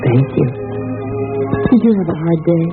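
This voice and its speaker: An actress playing an anxious, secretive young wife in an old-time radio drama, hesitant and tender with dramatic pauses. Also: female voice, sits low, expressive. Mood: tender, guarded. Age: young adult. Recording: tinny vintage radio, music behind.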